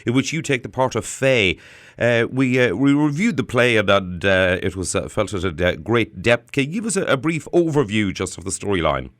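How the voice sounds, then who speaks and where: A male radio host with a measured, slightly halting delivery. He sounds close and dry, introducing and questioning a guest in an interview style. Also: on the low side, animated, fairly bright tone, no echo.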